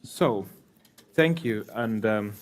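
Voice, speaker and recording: A man's voice: fairly low pitch, animated, takes it slowly, background noise. A man moderating a panel seminar, giving short affirmations and wrapping up the day's takeaways. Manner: soft-spoken, frequent backchannel.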